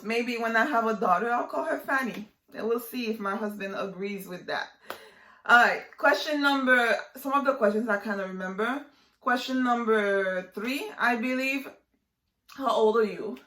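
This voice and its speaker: A woman's voice: medium pitch, a bit bright. Chatty woman in her twenties, roomy sound. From Haiti. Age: 20s. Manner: chatty, lots of fillers and likes. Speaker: vlogger. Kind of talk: casual vlog chat to camera.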